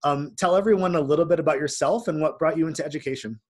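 A man hosting a school community interview podcast as an assistant principal, with a measured, upbeat delivery. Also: on the high side. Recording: music bed under the voice. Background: background music.

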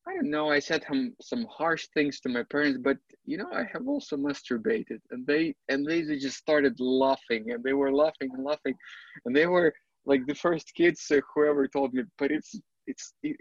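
A man's voice: a little high, no echo, lo-fi sound. A man from Ukraine hosting an interview, speaking hesitantly with many fillers. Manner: hesitant, many uhs, friendly. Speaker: host. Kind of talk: casual interview chat.

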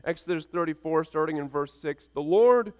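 A pastor in his thirties delivers a sermon in a raised, clear and resonant voice. He builds emphasis through rhythmic, repeated phrases. His voice carries a long reverb, as in a church sanctuary. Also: a little high, animated, moderate pace. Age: 30s. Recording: reverberant hall sound.

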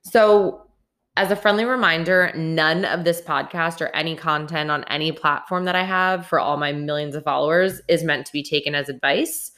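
A woman's voice: low-pitched, steady tone, normal speed, fairly bright tone. A woman in her late twenties hosting a podcast, talking earnestly and candidly, with some restarts. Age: 20s. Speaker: podcast host. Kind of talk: solo podcast monologue.

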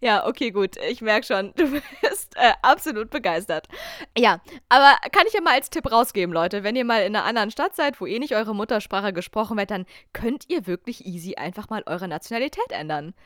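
A young German woman hosting a podcast, telling a travel story in a chatty, animated, self-mocking way. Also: medium pitch, a little fast, no echo, studio quality. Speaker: podcast host. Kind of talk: casual storytelling chat.

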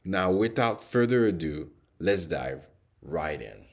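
A man's voice: low-pitched, very animated, slow. A man hosting an interview podcast, earnestly introducing the show and his guest.

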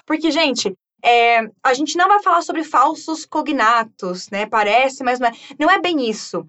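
Bright-voiced Brazilian woman teacher. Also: high voice, moderate pace, highs cut off. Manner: lively, chatty, laughs often. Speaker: English teacher, host. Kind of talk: casual bilingual chat.

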